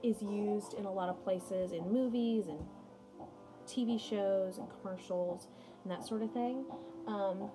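A woman's voice: medium pitch, unhurried. A young adult woman giving a casual read-aloud lesson as a music teacher, mixing read passages with chatty, informal asides. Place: small room at home, indoors. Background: music playing behind the voice.

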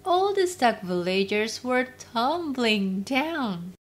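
A woman narrating a children's fairytale as storyteller, expressive and deliberate, with faint noise. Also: on the high side.

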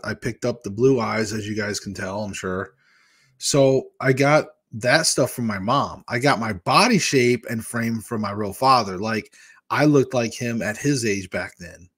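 A man's voice: medium pitch, a little slow, a bit bright. A man in his fifties from Michigan, hosting a casual live chat with his viewers from a small room at home and speaking into a desk microphone. He talks candidly and rambles from one story to the next. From Michigan, USA. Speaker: weight-loss vlogger hosting a live chat. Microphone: large desk microphone in front of a webcam.

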